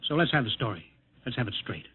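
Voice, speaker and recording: An actor playing a gruff, blunt older chief of a test airfield in a 1950s radio drama. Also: male voice, mid-range voice, big pitch swings, fast. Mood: stern. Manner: gruff, commanding. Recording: tinny old radio broadcast with hiss.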